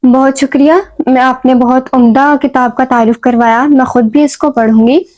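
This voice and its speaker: A woman's voice: high-pitched, fairly even intonation, a little mellow. A woman presents a literary talk show. She speaks politely and formally, in the manner of a programme host. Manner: courteous, formal.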